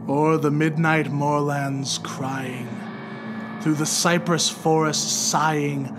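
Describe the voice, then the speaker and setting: A man narrating poetry in a theatrical, solemn and measured dramatic recitation. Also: fairly high pitch, little pitch movement, background noise. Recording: loud music under the voice. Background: music.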